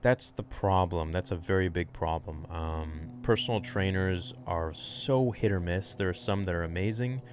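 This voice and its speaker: Young male fitness streamer, low voice, frank off-the-cuff Q&A, close desk mic in a small room. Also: moderate pace, hardly any pauses. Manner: rambling, frank, swears casually. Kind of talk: casual livestream Q&A.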